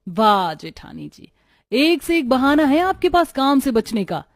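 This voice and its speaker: A woman's voice: sits high, big pitch swings, unhurried, no room sound, studio quality, exaggerated voice. A woman voicing a spoiled, scheming young daughter-in-law in a cartoon, sneering and whiny. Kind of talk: Hindi cartoon voice acting.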